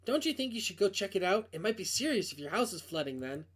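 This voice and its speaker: Visual novel role: manipulative, soothing female friend, played by a man, insistent, downplaying, raised pitch. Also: very animated, quick pace, breathy edge, heavily acted. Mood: probing. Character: coaxing, falsely reassuring woman friend on the phone.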